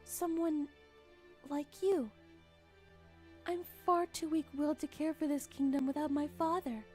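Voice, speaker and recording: A woman playing a gentle, earnest young princess in an animated fan drama. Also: high-pitched, a little slow. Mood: meek, pleading. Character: gentle, earnest young princess, soft-spoken yet brave. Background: music.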